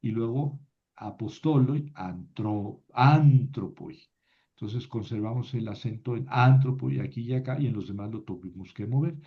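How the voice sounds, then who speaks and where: A man teaching a class, slowly reciting word forms and thinking aloud as he explains. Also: mid-range voice. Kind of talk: slow classroom lesson on grammar. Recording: dull lo-fi recording.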